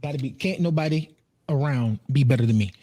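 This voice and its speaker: A man's voice: mid-range voice, fast, dark tone, no echo. An American man in his thirties chatting casually as a co-host, joking, repeating himself and swearing freely. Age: 30s. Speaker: podcast co-host and streamer. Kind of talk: casual group chat.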